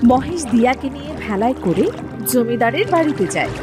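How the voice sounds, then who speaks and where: A woman's voice: on the high side, big pitch swings, a little fast, over music. A woman giving scripted narration for a cartoon folk tale.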